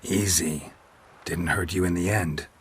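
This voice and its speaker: Casting: weary, dry-witted monster slayer, deep rasping voice, curt and flat, fantasy game. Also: male voice, a little slow, heavily acted. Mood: dry, unimpressed. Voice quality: raspy, gravelly. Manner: terse, deadpan. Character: gruff, weary, dry-witted male monster hunter for hire.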